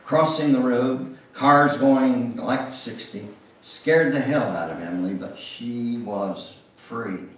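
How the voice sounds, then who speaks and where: Slow-reading older man in an echoey hall. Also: mid-range voice. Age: older, 70s or above. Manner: deliberate, theatrical storytelling with occasional stumbles. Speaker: guest speaker reading aloud. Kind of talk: dramatic reading of a memoir. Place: church sanctuary, at a lectern. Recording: echoey room, recorded from far off.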